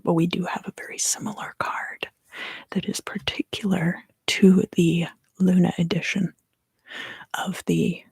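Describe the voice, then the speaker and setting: A woman giving an ASMR tarot reading in a hushed, measured delivery, drifting in and out of a whisper. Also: on the low side, gravelly, breathy edge, dry recording. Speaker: tarot reader and ASMR host.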